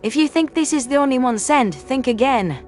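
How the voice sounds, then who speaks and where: A man's voice: very high-pitched, expressive, a little fast, faint music behind, voice pushed up. A man voicing a cocky human woman soldier in a sci-fi audio drama. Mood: cocky, gleeful. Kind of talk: audio drama character dialogue.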